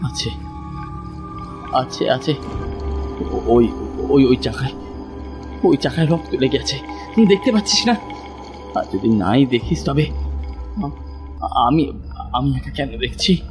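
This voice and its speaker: A man's voice: medium pitch, lively intonation. An actor playing a terrified, insistent young city man who stammers and repeats himself in a horror audio drama. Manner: stammering, halting, repeats words. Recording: loud music over the voice.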